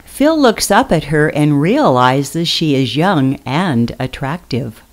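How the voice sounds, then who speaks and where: Radio theatre narrator: female, low register, measured pace, scripted stage directions. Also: very animated.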